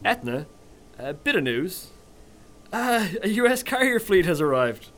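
An actor playing a blithely reckless, scheming Irish ship's captain in a comedy audio drama. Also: male voice, high voice, sing-song, unhurried, fairly bright tone, heavily acted. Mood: bemused.

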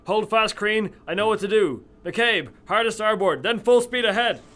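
An actor playing a blithely reckless, scheming Irish ship's captain in a comedy audio drama. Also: male voice, sits high, moderate pace, pushed and bright. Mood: commanding.

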